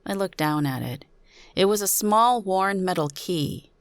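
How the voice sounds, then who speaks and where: A woman's voice: mid-range voice, animated, unhurried, dry recording. A woman narrating a suspense story in the first person, as a dramatic reading.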